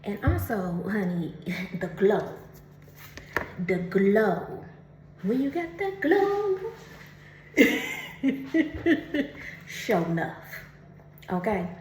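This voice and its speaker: Woman, oracle card reader, chatty, laughs often, unhurried, echoey room. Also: medium pitch, sing-song, a little mellow, slight background noise. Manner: chatty, laughs often, calls listener honey; reads card text slowly and carefully. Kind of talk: casual spiritual card reading.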